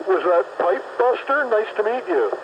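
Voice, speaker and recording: A man's voice: high voice, big pitch swings, normal speed. A man chatting over CB radio from his vehicle while delivering papers, friendly and talkative, giving his location. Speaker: CB radio operator driving a paper route. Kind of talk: casual CB radio chat. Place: moving vehicle on a country road. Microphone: CB radio handset. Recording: noisy lo-fi CB radio transmission.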